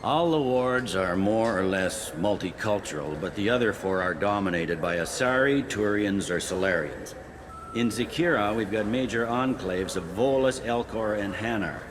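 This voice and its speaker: Man as a low-voiced, blunt police captain. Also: lively intonation, normal speed, natural voice. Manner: wry, blunt, matter-of-fact. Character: gruff, pragmatic human male police captain on a space station. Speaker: security captain character. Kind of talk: video game dialogue. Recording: clearly audible ambient noise.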